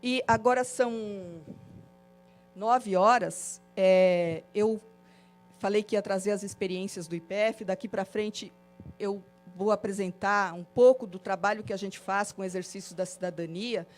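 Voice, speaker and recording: A woman's voice: mid-range voice, steady tone, measured pace. Woman facilitator, lecture delivery, rhythmic lists, faint music underneath. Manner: listing points in rhythmic series, frequent filler "né". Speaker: course facilitator. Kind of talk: classroom lecture on citizenship. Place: meeting room of a training course.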